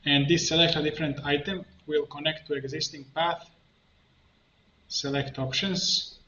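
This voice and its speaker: A man narrating a step-by-step software tutorial, thinking aloud in a halting way as he works. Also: fairly high pitch, fairly even intonation. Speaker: tutorial instructor, coach. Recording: muffled, roomy, faint noise, keyboard clicks. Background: computer keyboard typing and clicking.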